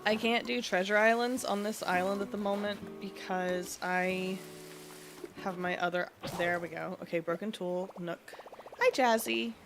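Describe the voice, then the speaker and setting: A woman's voice: mid-range voice, fairly even intonation, a little slow, music in the background. A sniffly woman streamer chatting casually and thinking aloud while she plays a game. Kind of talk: casual gaming chat.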